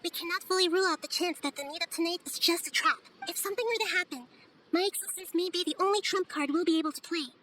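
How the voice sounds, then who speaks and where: An actress voicing a gentle, wise young goddess in a video game, calm, deliberate and thoughtful. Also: female voice, very high-pitched, slight background noise. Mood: cautious.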